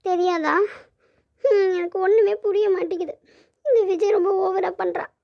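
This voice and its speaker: A woman's voice: very high-pitched, steady tone, moderate pace, creaky. A woman playing a naive, lovelorn young daughter in a family melodrama, plaintive and girlish. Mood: puzzled, hurt. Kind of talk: melodramatic family audio drama acting. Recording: dull lo-fi audio.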